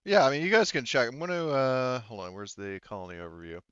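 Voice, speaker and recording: A man's voice: medium pitch, fast talker, highs cut off, a crowd in the background. A man casually running a tabletop roleplaying game as game master, chatting informally and swearing freely. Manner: informal, profane.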